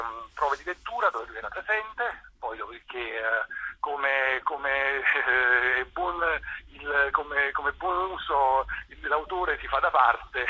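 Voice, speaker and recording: A man answering as a theatre director in an informal radio interview, haltingly, often repeating words as he searches for the next one. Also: mid-range voice, pushed and bright, slightly rough, no echo. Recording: dull, band-limited radio or phone line.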